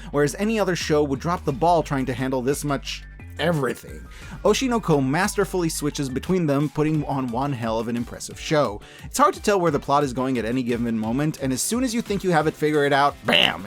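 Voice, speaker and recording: Male reviewer, very lively intonation, punchy casual enthusiasm, scripted commentary over background music. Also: on the high side. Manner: enthusiastic, emphatic, casual and profane. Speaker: host of a media review channel.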